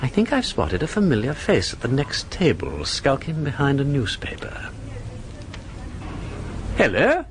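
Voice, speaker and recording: An actor playing a breezy, witty gentleman sleuth in a radio drama, with an upper-class English accent and a suave, facetious drawl. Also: mid-range voice, wildly swooping pitch, unhurried, long pauses, background noise. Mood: jaunty. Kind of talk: BBC radio drama acting.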